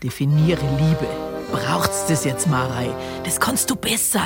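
A woman's voice: deep voice, animated, a little slow, over loud music. An older woman with a Bavarian accent playing a wry, impatient grandmother who narrates an audio drama. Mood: exasperated. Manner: wry, musing, colloquial.

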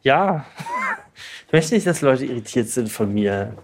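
Man, twenties. Casual, self-doubting, hesitant talker; mid-pitched, recorded dry. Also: very animated, unhurried, dry recording. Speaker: podcast guest telling a personal story. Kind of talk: casual chat.

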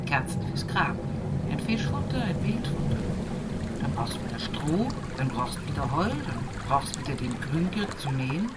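A woman's voice: sits low, heavy background noise. An actress playing a rural Bavarian woman in an intimate radio play monologue, musing plaintively and colloquially about life. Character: rural Upper Palatinate woman, humorous, brooding, recalling her life. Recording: music behind the voice.

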